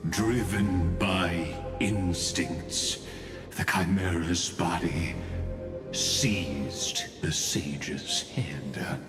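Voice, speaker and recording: An actor playing a cold, grandiose, condescending mastermind villain with godlike airs in a video game. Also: male voice, sits low. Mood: measured, taunting. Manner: grand, deliberate, condescending, explanatory. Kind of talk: dramatic video game voice acting. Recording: cavernous echo with background music.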